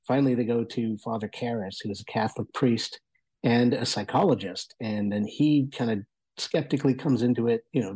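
A man with a mellow, soft-edged voice and a husky grain talks through a review as a guest. His delivery is conversational and thoughtful, often halting as he works toward his point. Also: mid-range voice, rough recording. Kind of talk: casual spoken review.

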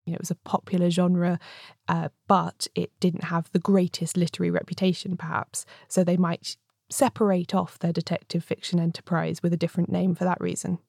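A woman who makes a podcast on crime fiction, explaining in a measured, expository way. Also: fairly low pitch, little pitch movement, vocal fry, a little mellow, dry recording, studio quality. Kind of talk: informed interview explanation.

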